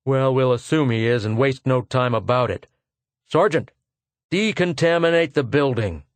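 A contemptuous imperial lieutenant snaps his orders with cold indifference, as a male narrator puts him on. The voice sits higher and pushier than the reader's own. It is recorded dry and close. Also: normal speed, no echo, close to an everyday voice. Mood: commanding. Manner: harsh, contemptuous, curt.

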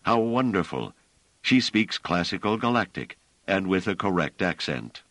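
A man voicing an eager, scholarly male linguist who gets excited easily. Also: sits low, a little slow, dry recording, full character voice. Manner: excitable, enthusiastic.

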